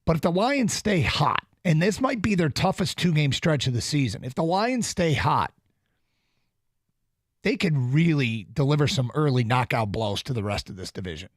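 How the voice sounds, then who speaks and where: A man talking sports on a radio show, his voice a little high and his speech animated, with frequent restarts. The studio sound is dry and close, with no room around it. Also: normal speed, dry recording. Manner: animated, restarts often, laughs. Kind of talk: casual sports talk.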